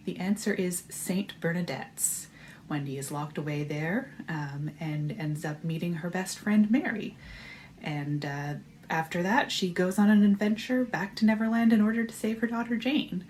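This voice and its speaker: A woman's voice: fairly low pitch, moderate pace, darkish tone, a little hiss or hum. A woman speaking as an author, presenting a trivia question in a prepared talk to viewers.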